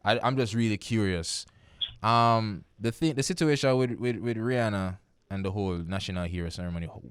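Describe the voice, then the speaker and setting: A Jamaican man hosts a casual radio talk show in a low, smooth voice. He talks in loose, repeating phrases and keeps checking that listeners follow him. His studio sound is dry and close. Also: fairly quick, no room sound. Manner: repeats phrases, asks "you understand me?".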